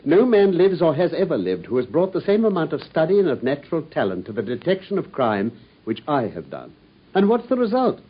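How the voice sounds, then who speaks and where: An actor playing a brilliant, self-assured detective in a radio play, precise and lightly condescending, on a dull lo-fi broadcast recording. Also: male voice, a little high, wildly swooping pitch, a little fast, breathy edge, exaggerated voice. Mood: restless. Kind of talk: 1950s-style BBC radio drama acting.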